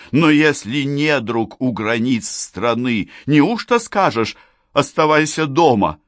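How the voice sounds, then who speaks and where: A man reciting verse in a solemn, declamatory way, with sweeping rises and falls in pitch and loudness. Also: no room sound, studio quality. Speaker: poetry reader.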